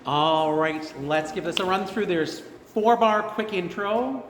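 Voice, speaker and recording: A man's voice: high voice, measured pace, a bit bright. A man leading a choir rehearsal, explaining things in an informal, encouraging and self-deprecating way. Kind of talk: instructive rehearsal talk. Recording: echoey reverberant room with piano music.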